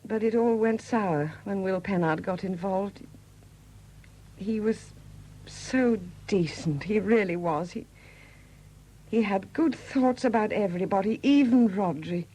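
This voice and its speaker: An actress in a 1970s British radio drama playing a widowed murderess who confesses in a hesitant, confiding manner. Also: female voice, medium pitch, unhurried, warm tone, close to an everyday voice. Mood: sorrowful resignation. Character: quiet confessing murderess, a Welsh sculptor's widow.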